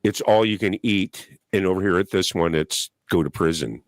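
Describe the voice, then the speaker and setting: A man chatting casually on a live panel, telling stories in a loose, profane way with drawn-out emphasis. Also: sits low, no room sound.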